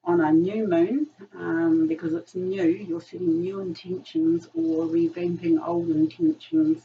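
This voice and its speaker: A woman with a New Zealand accent, hoarse and gravelly from a virus, talking in a low voice. She rambles through a casual tarot reading with frequent ums. The mic sits some way off, and the dull recording carries a faint hiss. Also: unhurried. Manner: chatty, rambling, many ums. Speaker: tarot reader. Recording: distant mic, dull sound, faint noise.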